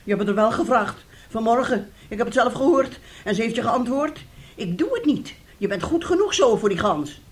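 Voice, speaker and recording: A woman's voice: a little high, animated, moderate pace, lightly acted. A woman acting in a radio drama as a bitter, domineering, self-pitying mother, scolding melodramatically. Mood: scornful.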